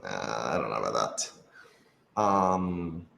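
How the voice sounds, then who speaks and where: A man's voice: rumbling low, very animated, slow, creaky, a bit bright. A man chatting casually in a rambling, hedging way, as if thinking aloud while hosting a group Q&A. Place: indoor office used for rehearsals. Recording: echoey room.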